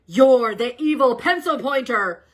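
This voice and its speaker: A woman voicing an excitable male turtle professor, talking in a high, worked-up way in a read-aloud storybook. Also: measured pace, slightly rough, full character voice. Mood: alarmed.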